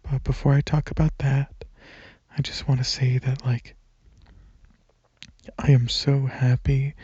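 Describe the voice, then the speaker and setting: Husky, breathy man rambling softly. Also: medium pitch, dry recording, dull audio. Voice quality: soft, breathy, husky, partly whispered. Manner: relaxed, hesitant, unforced. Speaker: ASMR video creator. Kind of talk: casual rambling ASMR, softly spoken to listeners. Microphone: microphone held close at chest, leaning back.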